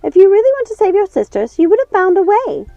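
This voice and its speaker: A haughty storybook witch, voiced by a woman reader, scolds in a raised, high voice. Her delivery is sharp, bossy and blaming. The recording is a touch muffled, with music underneath. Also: big pitch swings, quick pace, vocal fry, no room sound, exaggerated voice. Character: imperious, scolding wicked witch, eldest-sister bossiness. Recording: dull lo-fi sound, music underneath.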